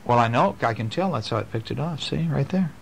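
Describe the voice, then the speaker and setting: A father reading bedtime stories aloud to his children, gentle and animated, pausing to ask them about the pictures. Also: mid-range voice, gravelly, a little hiss or hum. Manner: gentle, animated storytelling with questions to the kids.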